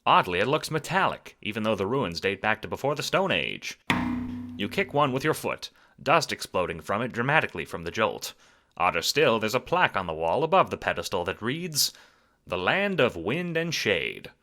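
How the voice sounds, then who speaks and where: A man giving dramatic, wry scripted narration for an audio drama, theatrical and expressive. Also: fairly low pitch, fairly quick.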